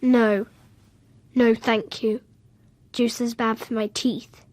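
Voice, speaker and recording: A woman's voice: a little high, little pitch movement, takes it slowly, a little mellow, no room sound. A woman playing a stubborn, quirky little girl with a blunt, literal, childlike manner in a radio drama. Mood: guarded, blunt.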